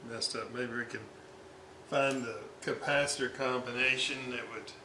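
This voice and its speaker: A man giving an informal hands-on repair demonstration, thinking aloud in a halting way with long pauses. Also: mid-range voice, fairly even intonation, bright, forceful tone. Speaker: hobbyist radio restorer presenting his repair. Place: indoors at a repair workbench. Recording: roomy, noisy amateur workshop recording.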